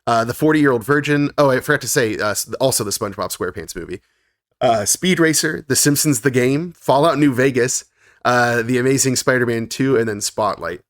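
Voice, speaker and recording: A man's voice: medium pitch, a little fast, no echo, clean studio sound. A man co-hosting a podcast in casual chat, reading long lists of credits with frequent laughs and fillers. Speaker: podcast co-host.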